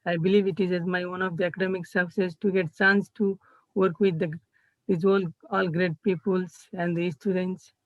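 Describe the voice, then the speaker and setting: Nepali-accented man, halting video-call presenter. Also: high voice, flat delivery, no room sound. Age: young adult. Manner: halting, searching for words, frequent restarts. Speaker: student intern presenting. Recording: dull, slightly muffled video-call audio.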